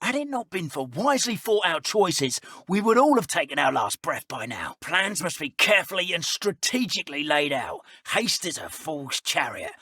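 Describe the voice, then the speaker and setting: A man voicing a pompous, sneering dark wizard villain in a video game, with theatrical, formal, old-fashioned phrasing. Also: fairly high pitch, big pitch swings, normal speed, few pauses, husky, no echo, studio quality, heavily acted. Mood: pompous, weary.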